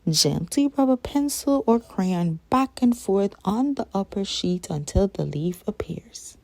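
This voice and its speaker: A woman reading a children's nonfiction picture book aloud in a measured, expressive way. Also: mid-range voice, no room sound.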